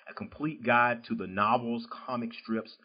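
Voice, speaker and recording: A man's voice: medium pitch, steady tone, moderate pace. A male podcast host with a Southern US accent interviewing an author in a loose, chatty way, full of "you know" fillers. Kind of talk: casual interview chat. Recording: dull lo-fi sound.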